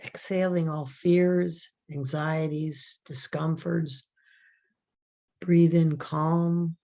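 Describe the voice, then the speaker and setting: A woman leading a guided relaxation meditation, giving soft, calm, drawn-out breathing instructions. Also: low-pitched.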